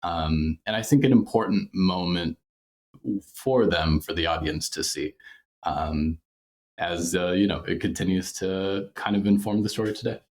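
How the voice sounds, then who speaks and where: A man's voice: sits low, unhurried. A man speaking as a podcast creator in a casual interview, explaining things thoughtfully and good-humoredly. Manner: chuckles often while explaining thoughtfully.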